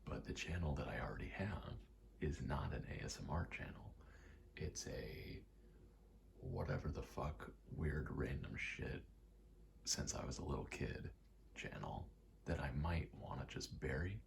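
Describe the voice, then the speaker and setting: A man softly rambling in a casual ASMR style, breathy and often whispering, sweary and self-deprecating. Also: rumbling low, lively intonation, unhurried. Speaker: video creator rambling to camera. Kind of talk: soft-spoken ASMR ramble. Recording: clear background noise, rattling vent. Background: rattling vent noise.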